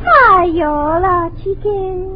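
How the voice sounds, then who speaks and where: Breezy rich southern teen girl, super high voice, muffled 40s radio recording. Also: animated, very slow, heavy background noise, heavily acted. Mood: gushing. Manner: gushing, affected, chatty. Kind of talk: 1940s radio comedy acting.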